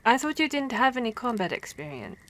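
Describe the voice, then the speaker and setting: A woman playing a female vampire hunter who scolds and cares for her comrade, in an expressive, stammering ASMR roleplay. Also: fairly high pitch, a little fast. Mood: shocked, worried.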